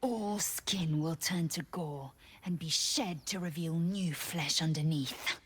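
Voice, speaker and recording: A woman's voice: sits low, slow, slight background noise. An actress voicing a haughty, blunt alien warrior woman in a video game, speaking in a condescending, commanding way. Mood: grim.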